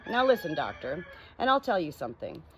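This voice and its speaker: A woman voicing a proud, bossy female parrot in a high, insistent, instructive voice for a storybook. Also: sing-song, a little fast, a little hiss or hum. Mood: insistent, persuasive.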